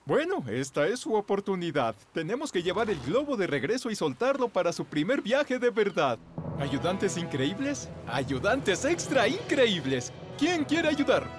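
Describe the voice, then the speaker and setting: Casting: flamboyant cartoon bike showman, high voice, big swooping announcements over music. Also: sing-song, moderate pace. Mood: showy, rousing. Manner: theatrical, announcer-like. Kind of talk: children's cartoon voice acting.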